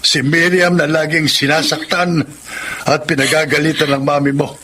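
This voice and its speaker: A man's voice: on the high side, flat delivery, normal speed, sharp tone, slight background noise. A man playing a reproachful, earnest husband and father in a Filipino radio drama.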